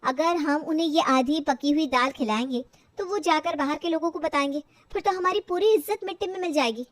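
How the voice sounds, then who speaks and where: A dutiful young daughter-in-law voiced by a woman in a family audio story. Her voice is high and dry, with an earnest, polite, coaxing manner. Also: a little fast, no room sound, lightly acted. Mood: earnest, worried.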